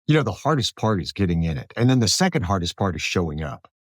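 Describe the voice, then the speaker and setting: Middle-aged male radio-style host from South Alabama, lively pitch movement, casual and anecdotal, dry recording. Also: fast, no room sound. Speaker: podcast host, radio broadcaster. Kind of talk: casual conversational interview.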